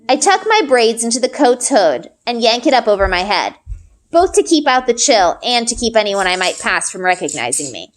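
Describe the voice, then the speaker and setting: A woman reading a story aloud expressively, as a teacher would, acting out the dialogue. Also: medium pitch.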